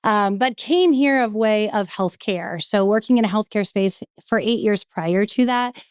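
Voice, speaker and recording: Smooth-voiced woman guest. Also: medium pitch, darkish tone, dry recording, clean studio sound. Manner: composed, explanatory. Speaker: podcast guest. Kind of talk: casual podcast interview.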